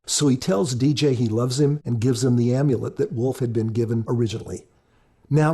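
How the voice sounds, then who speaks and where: Man, average pitch, soft dark tone, husky, earnest preacherly podcast narration, explanatory. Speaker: author and co-host. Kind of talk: scripted podcast commentary and narration.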